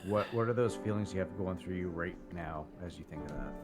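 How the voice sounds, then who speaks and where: A man's voice: low voice, a little fast, music in the background, close to an everyday voice. A man playing a probing confidant in improvised roleplay, rephrasing his questions as he goes. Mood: probing, calm. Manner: probing, often rephrasing himself. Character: probing male confidant questioning a prisoner about his feelings.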